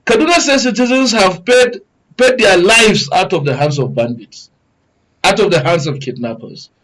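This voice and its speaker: A Nigerian man speaks as a guest commentator on a public affairs talk show. His voice sits a little high for a man. He is earnest and wordy, repeating phrases as he builds each point. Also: expressive, moderate pace, fairly bright tone, low-quality recording.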